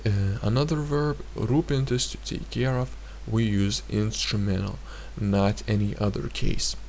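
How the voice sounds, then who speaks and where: Guy teaching grammar, really slow, stops a lot to think, says examples twice, bit gravelly. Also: fairly low pitch, steady tone, a little mellow, slight background noise. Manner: hesitant, many filler pauses, repeats example sentences. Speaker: language teacher. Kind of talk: explanatory grammar lesson.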